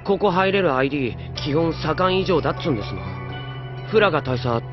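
An actor playing a hot-headed, sulky young junior soldier in a Japanese anime audio drama. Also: male voice, a little high, lively intonation, brisk, lightly acted. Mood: defensive, whiny. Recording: dull lo-fi audio under music.

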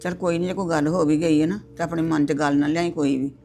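A woman's voice: fairly low pitch, fairly quick, gravelly, a little mellow, faint music behind. A woman playing an older mother-in-law who reasons firmly and soothes her daughter-in-law in a family drama. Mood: soothing. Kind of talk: domestic drama acting.

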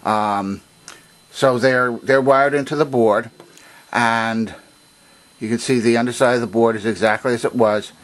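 A man presenting an unscripted hands-on technical demonstration in a halting, methodical, explanatory way. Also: mid-range voice, fairly even intonation, poor audio. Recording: noticeable background noise.

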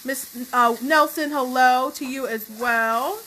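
A young woman co-hosting a home cooking stream, reading out viewer greetings in a friendly, steady way. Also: high-pitched, slow pace, slight background noise, poor audio. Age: young adult. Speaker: co-host reading viewer comments. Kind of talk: reading out live chat greetings. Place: home kitchen, indoors.

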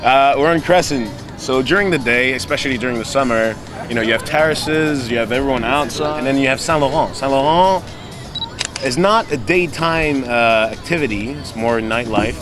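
A man's voice: medium pitch, normal speed. A man giving casual, chatty advice outdoors. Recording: music playing behind.

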